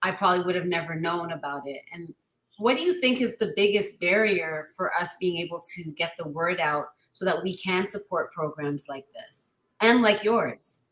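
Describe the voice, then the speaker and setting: A woman's voice: fairly low pitch, moderate pace, fairly bright tone, reverberant, poor audio. A woman hosting a podcast interview, asking questions in a casual, chatty way. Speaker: podcast host.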